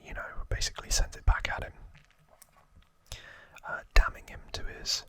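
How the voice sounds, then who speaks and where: A man speaking in a breathy, gentle whisper, hosting an ASMR trivia explainer close to the microphone. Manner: slow, gentle, hushed delivery. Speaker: ASMR video creator and host.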